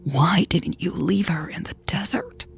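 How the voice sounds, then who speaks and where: A woman's voice: on the low side, lively intonation, a little slow, vocal fry, faint music behind, pitched above the performer's own voice. A woman reader voicing an angry, wounded woman leader who speaks in a clipped, accusing way in a romantic audio story. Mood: cold. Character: angry, cold, wounded woman leader confronting her lover. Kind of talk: audio fiction dialogue reading.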